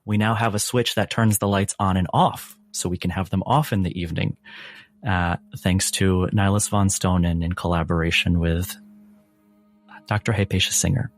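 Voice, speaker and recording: A man narrating a tabletop role-playing game as game master, in a measured way with dramatic pauses. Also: low voice, fairly even intonation. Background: faint music.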